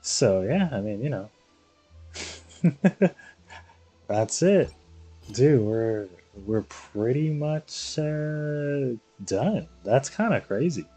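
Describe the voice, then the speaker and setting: A man's voice: medium pitch, animated, very slow, long pauses, dark tone, soft background music. A tired man chatting casually on a game livestream, rambling and slangy, repeating himself as he goes. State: tired after very long stream.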